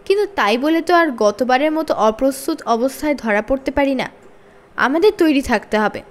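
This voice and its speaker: A practical frontier father from a children's storybook, read by a woman. The voice is high, with animated pitch. He talks in a plain, reasoning way. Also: normal speed.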